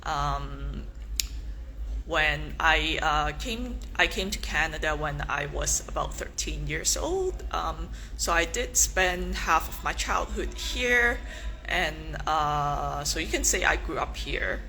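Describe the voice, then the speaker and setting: Slow, halting woman from Hong Kong. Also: low voice, animated, bright, forceful tone. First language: Cantonese. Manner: slow and hesitant, many ums and restarts. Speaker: pageant contestant. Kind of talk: casual self-introduction livestream.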